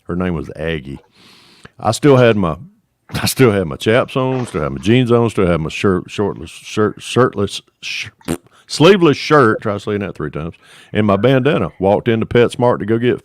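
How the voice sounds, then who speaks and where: Casting note: man in his sixties, deep voice, drawling easygoing storyteller, dry close sound. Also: big pitch swings, normal speed, dry recording. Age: 60s. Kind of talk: casual chat on a live stream.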